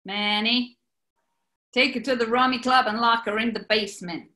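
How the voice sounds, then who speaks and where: A woman acts a scheming American gangster boss for a 1940s radio comedy. The voice is forceful and bright, set fairly high, in a roomy echo, with theatrical, wisecracking bossiness. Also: steady tone, moderate pace. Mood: bossy. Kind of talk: 1940s radio play acting.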